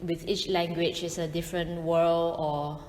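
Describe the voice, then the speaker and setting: A woman from Malaysia speaking in an interview, animated and quick to laugh. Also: lowish voice, reverberant, in a large hall. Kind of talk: reflective personal interview.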